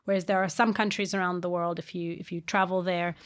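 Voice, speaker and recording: A woman raised in South Africa and Brazil co-hosting a podcast, guiding the conversation in an articulate, expressive way. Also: lowish voice, fast talker. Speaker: podcast co-host.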